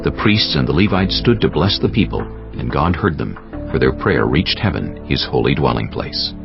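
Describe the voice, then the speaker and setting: Very deep, gravelly male scripture narrator. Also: animated. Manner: measured, solemn storytelling. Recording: dull sound with music behind. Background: prominent music.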